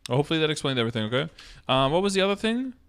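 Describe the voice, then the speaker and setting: A man's voice: fairly high pitch, very animated, very fast, a bit bright, clean tone. A man in his thirties giving a casual step-by-step explanation as a game streamer, speaking into a close mic indoors. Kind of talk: casual live-stream tutorial, answering chat questions. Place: indoor room, streaming setup.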